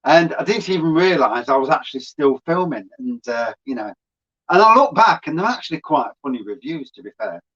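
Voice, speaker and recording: A man's voice: fairly high pitch, lively intonation, fairly quick, fairly bright tone, breathy edge, highs cut off. A man, a beer reviewer, chatting casually as a guest on a stream, swearing freely and laughing as he tells stories.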